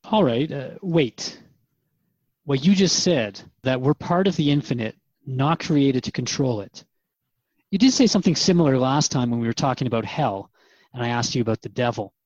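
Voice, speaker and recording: A dry, slightly dull recording of a curious radio interviewer, played by a man in a drama. The manner is informal and questioning, a little bemused, at a middle pitch. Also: normal speed, darkish tone, dry recording, natural voice. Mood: earnest, questioning. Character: curious, casual male human radio interviewer.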